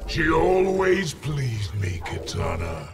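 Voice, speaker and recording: A man playing a brutal, arrogant warlord emperor in a fighting game, gravelly, menacing and imperious. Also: medium pitch, big pitch swings, slow pace, heavily acted. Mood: gloating. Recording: distant, long hall reverb, music behind.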